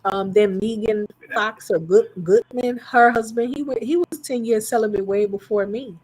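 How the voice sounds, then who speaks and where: A woman's voice: fairly high pitch, normal speed, darkish tone, slight background noise, rough recording. Chatty woman telling stories on a panel. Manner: chatty storyteller, candid. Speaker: panel guest. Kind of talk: casual panel debate.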